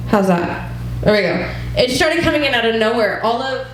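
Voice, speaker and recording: A woman's voice: on the high side, expressive, normal speed, a little hiss or hum. A woman in her thirties leading a church women's group, earnestly sharing a personal testimony in a conversational way, with frequent ums. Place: church hall. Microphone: wireless mic that cuts out. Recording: very echoey church hall.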